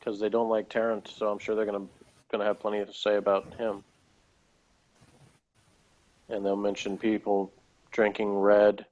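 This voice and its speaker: Male co-host, lowish voice, casual conversational commentary, dry and blunt. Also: monotone, moderate pace, long pauses, a little mellow, lo-fi sound. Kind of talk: casual chat.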